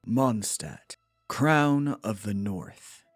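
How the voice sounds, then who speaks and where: A man playing a solemn storyteller, reading scripted fantasy narration for a fan dub in a measured, dramatic manner. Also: lowish voice, animated, no room sound, studio quality, faint music behind, heavily acted.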